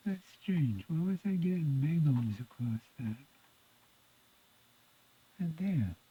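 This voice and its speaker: A man giving an informal, folksy repair demonstration, thinking aloud in a halting mutter as he works. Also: fairly high pitch, animated, slightly rough. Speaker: radio repair hobbyist presenting own repair video. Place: indoors at a repair workbench. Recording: muffled phone-like audio, lo-fi.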